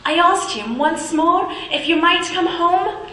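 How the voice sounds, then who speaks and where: A woman playing an eager little girl in a radio drama, breathless with excitement. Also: very high voice, slow pace, full character voice. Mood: excited. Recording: echoey.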